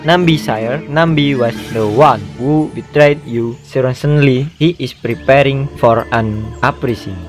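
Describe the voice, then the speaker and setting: Scheming male courtier played by a man, medium-pitched, insinuating and urgent, for a radio play. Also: unhurried, few pauses, faint music behind, lightly acted. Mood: goading. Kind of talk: student radio drama acting.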